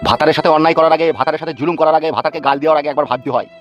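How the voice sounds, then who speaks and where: A performer voicing a smug male rival in a cartoon, lecturing in a deep voice. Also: steady tone, racing, faint music behind, lightly acted.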